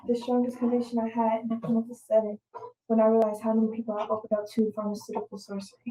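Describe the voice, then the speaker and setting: A woman's voice: fairly high pitch, completely flat delivery, fast talker, dark tone, rough recording. A woman making casual side comments and reading out remarks, sitting a little away from the microphone. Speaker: co-participant relaying viewer comments.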